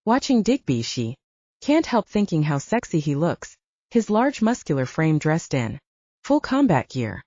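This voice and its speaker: A woman's voice: low-pitched, sing-song, a little slow, mellow, no echo, muffled recording. A woman narrating an audiobook, reading scripted fiction in a dramatic storytelling style.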